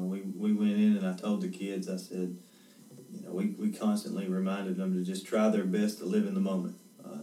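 A man in his twenties, a head baseball coach, answering interview questions in a steady, earnest way, repeating words as he thinks. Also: on the low side, roomy sound, far from the mic, low-quality recording. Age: 20s.

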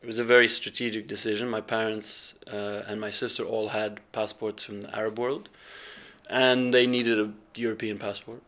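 A young man speaking in a relaxed interview, reflective and hesitant, with many restarts and ums as he thinks aloud. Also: fairly low pitch, moderate pace. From England, raised partly in Bahrain. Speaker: filmmaker and fellowship alumnus.

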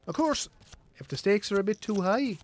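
An actor with an Irish accent playing a smug poker ringleader in a radio drama, mock-friendly and needling. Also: male voice, sits high, animated, normal speed. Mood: goading. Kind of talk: naturalistic radio drama acting.

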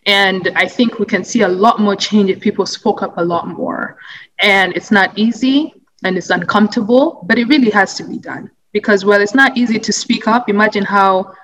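Young woman from Uganda, interviewee, mid-pitched, earnest and reflective, lots of ums and restarts. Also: normal speed, a bit bright, poor audio. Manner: thoughtful, earnest, many fillers and restarts. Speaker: interviewee, anti-racism advocate. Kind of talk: conversational interview answer.

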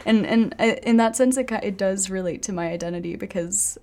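A woman's voice: medium pitch, a little fast, a little mellow, a little hiss or hum. Young French woman with an American accent, sincere, chatty. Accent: American-accented English. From France. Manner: earnest, repetitive restarts. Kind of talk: casual conversation.

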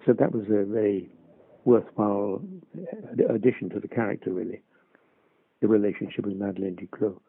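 Older man, actor interview, hesitant restarts, low husky voice, phone-like sound. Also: flat delivery, a little fast, no room sound. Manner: hesitant, with many restarts and chuckles. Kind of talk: reminiscing interview. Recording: dry, tinny phone-like line.

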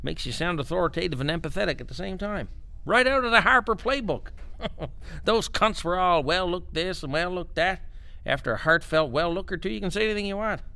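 An actor playing a pushy, foul-mouthed, cynical political spin doctor in a satirical audio drama, brash and quick to cut in. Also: male voice, high voice, lively intonation, gravelly, bright, forceful tone, full character voice. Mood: smug, coaching. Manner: brash, bullying, interrupting, swearing.

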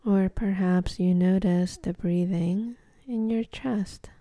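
Slow, soft, dark-voiced woman guiding meditation. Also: mid-range voice, no room sound. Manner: soft, calm, breathy, half-whispered delivery with long pauses. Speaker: meditation guide.

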